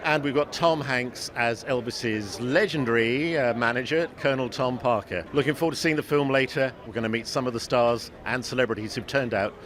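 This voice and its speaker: A man's voice: medium pitch, a little fast, hardly any pauses, a bit bright. A man working as a radio reporter, presenting and interviewing on location outdoors at a film premiere. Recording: noisy outdoor street, urban bustle.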